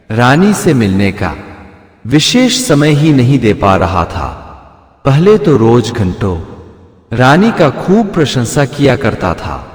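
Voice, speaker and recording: A man tells a moral tale in scripted narration. His pace is slow and his pitch moves in lively swings. The recording rings with long reverb. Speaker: storyteller. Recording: roomy echo with long reverb.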